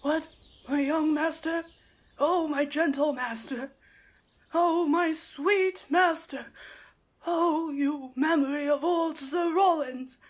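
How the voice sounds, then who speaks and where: Woman playing an anxious old servant man, high-voiced. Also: fairly even intonation. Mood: alarmed, pleading. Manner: earnest, emotive, slow declamation. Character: devoted old male servant, anxious and loyal. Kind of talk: Shakespearean radio play acting.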